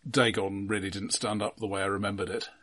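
A middle-aged man co-hosting a film podcast, speaking in a measured, considered way with stammered word repetitions. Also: on the low side, level tone, a bit bright. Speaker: podcast co-host. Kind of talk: casual film discussion.

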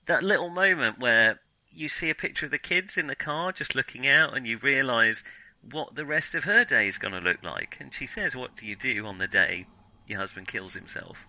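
A man's voice: medium pitch, normal speed, bright, forceful tone, low-quality recording. A man co-hosting a film podcast, talking casually and hesitantly, qualifying his points as he thinks aloud.

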